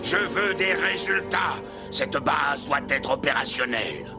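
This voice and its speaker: A man's voice: a little high, animated, measured pace, music in the background. A man playing an impatient, domineering tyrant lord in a 1990s French-dubbed cartoon. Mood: impatient, menacing.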